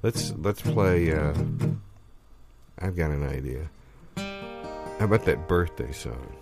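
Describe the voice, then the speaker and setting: A man's voice: extremely low pitch, animated, slow, gravelly, heavily acted. A man improvising an earnest, scatterbrained folk musician chatting in a muddled, digressive way on a comedy radio show. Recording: acoustic guitar playing over the voice.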